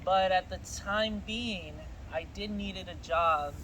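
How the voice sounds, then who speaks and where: High-voiced man chatting outdoors by traffic. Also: takes it slowly, low-quality recording. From California. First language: Spanish. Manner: relaxed storytelling, laughs often. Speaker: guest telling his story. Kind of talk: casual chat.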